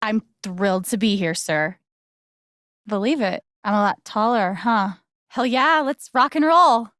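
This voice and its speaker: A woman's voice: medium pitch, a little slow, a bit bright, no echo, clean studio sound, natural voice. A woman voicing a friendly, wry young newcomer in a video game, talking in a casual, naturalistic, dryly joking way. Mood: slightly nervous, then playful, excited. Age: young adult.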